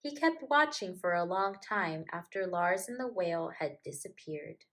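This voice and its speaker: A woman's voice: fairly low pitch, animated, normal speed, a bit bright. A woman teacher reading a storybook and talking to young children in an encouraging way, with simple phrasing. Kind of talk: storybook read-aloud and gentle address to children.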